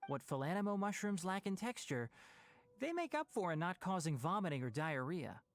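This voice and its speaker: A man's voice: high-pitched, sing-song, moderate pace, slight background noise. A man playing a bookish, practical young forest ranger in an anime-style game, articulate and dry.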